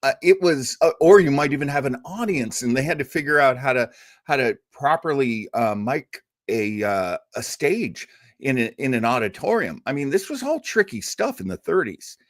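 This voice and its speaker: A man's voice: medium pitch, animated, moderate pace, no echo, studio quality. A man hosting an old-time radio show, chatting casually and enthusiastically with emphatic, rambling asides.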